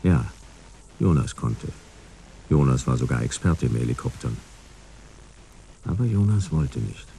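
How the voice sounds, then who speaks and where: Very deep, gravelly laconic detective. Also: male voice, a little slow, pauses often, a little hiss or hum, exaggerated voice. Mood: dry, detached. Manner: dry, terse, deadpan. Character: laconic, world-weary male detective narrating his case. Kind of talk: scripted first-person noir narration in radio play.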